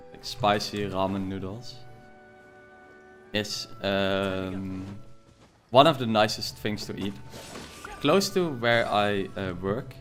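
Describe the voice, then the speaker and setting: A man's voice: lowish voice, fairly bright tone. A young man with a thick Dutch accent, chatting casually and jokingly with viewers while playing a game, often laughing. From Netherlands. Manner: rambling and jokey, laughs often. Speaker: streamer. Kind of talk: casual chat while gaming.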